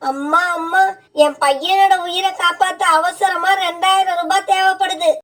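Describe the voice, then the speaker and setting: A woman voicing a greedy, sharp-tongued young wife bird in a cartoon, with a scolding, bossy manner. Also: very high-pitched, fairly even intonation, moderate pace. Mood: urgent.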